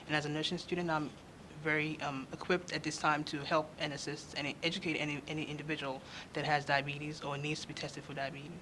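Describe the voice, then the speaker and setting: A young male nursing student speaking earnestly in an interview, pausing often with fillers. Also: a little high, level tone, noisy background. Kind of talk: on-camera interview. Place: state house conference.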